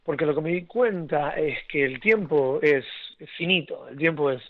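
A man's voice: fairly high pitch, moderate pace, no room sound, narrow, tinny audio. A young man with an Argentine accent, raised in Argentina and Venezuela, telling his story as an interview guest with many restarts and fillers. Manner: rambling, many restarts and fillers, laughs often.

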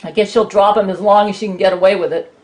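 A woman joining a casual comedy chat, chatty and quick to laugh. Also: mid-range voice, steady tone, darkish tone, echoey room, low-quality recording.

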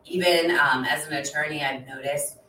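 Woman in her 30s, low voice, bright tone, chatty with lots of ums, echoey room, bit far from mic. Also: fairly even intonation, normal speed, a little hiss or hum. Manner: chatty, many fillers. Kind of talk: casual chat.

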